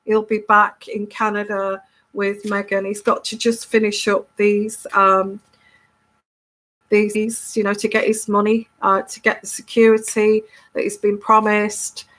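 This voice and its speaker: A woman's voice: mid-range voice, level tone, a little slow, a bit bright, poor audio. A woman with a stuffy head cold, talking casually to her viewers as a tarot reader, often tagging her points with "okay?" and restarting words. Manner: chatty, keeps tagging "okay?", many restarts. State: congested from a cold. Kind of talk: casual solo video monologue.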